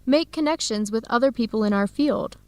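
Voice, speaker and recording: A woman acting as a friendly young American researcher in a radio drama. Her voice is fairly high and recorded very dry, and she explains things clearly and encouragingly. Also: a little fast, dry recording, close to an everyday voice. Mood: explaining, encouraging. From United States. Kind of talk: scripted educational radio drama dialogue.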